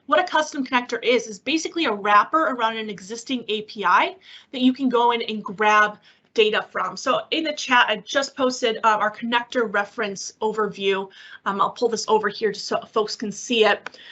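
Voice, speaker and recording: A woman's voice: fairly high pitch, normal speed, bright, forceful tone. A woman giving an informal, upbeat, encouraging product explanation as a technical presenter on an online call. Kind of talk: conversational webinar product explanation. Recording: slightly dull online-meeting audio.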